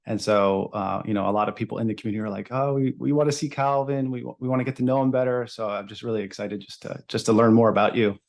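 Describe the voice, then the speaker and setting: A man with a slightly low voice hosts a relaxed interview show. He sounds eager and phrases things haltingly, doubling back on words as he works toward his questions. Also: creaky. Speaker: podcast host. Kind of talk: casual interview chat.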